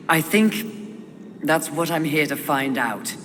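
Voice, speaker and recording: A woman's voice: low-pitched, expressive, a little hiss or hum, exaggerated voice. A woman playing a proud, defiant warrior horsewoman in a video game, with a stern, curt delivery. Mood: brooding. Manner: stern, clipped, scornful, deliberate. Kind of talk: dramatic video game cutscene acting. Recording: long reverb, music behind voice.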